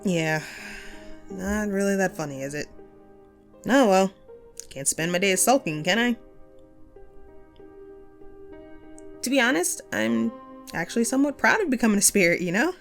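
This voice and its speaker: A woman performs an upbeat female ghost, once a radio host and ghost hunter, in an intimate one-sided audio roleplay. Her medium-pitched voice moves around in a very animated way, playful and talkative with musing pauses. Soft music sits behind her. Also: close to an everyday voice. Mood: musing, proud.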